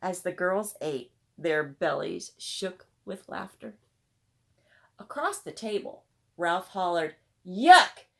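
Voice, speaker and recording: A woman reading a children's storybook aloud in expressive narration. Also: lowish voice, slow, vocal fry. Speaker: storybook reader.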